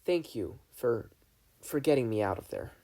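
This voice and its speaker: A man's voice: mid-range voice, animated, normal speed, dark tone, natural voice. A man voicing an anxious, self-doubting boy adventurer in a story, hesitant and stammering. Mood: nervous, hesitant.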